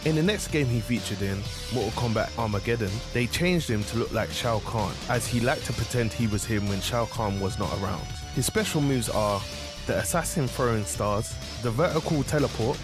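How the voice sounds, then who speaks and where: A man's voice: mid-range voice, moderate pace, over music. A man narrating a scripted explainer about video games as a commentator.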